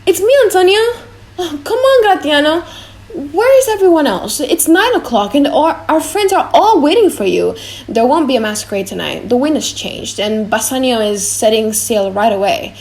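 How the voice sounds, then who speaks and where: Impatient merchant gentleman, brisk delivery, raised high pitch, audiobook play female performer. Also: animated. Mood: urgent. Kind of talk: audiobook drama reading.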